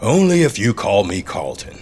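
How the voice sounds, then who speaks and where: An actor playing an evasive, self-important mayor with a measured, curt manner in an audio drama. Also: male voice, on the low side, big pitch swings, a little mellow, slight background noise. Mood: weary, curt.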